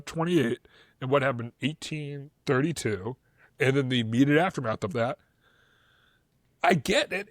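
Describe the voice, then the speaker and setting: A man with a Boston accent co-hosting a casual history podcast, animated and excitable as he argues his points. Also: on the high side, dry recording. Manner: animated, excitable, laughs often. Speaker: podcast co-host. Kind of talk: casual chat.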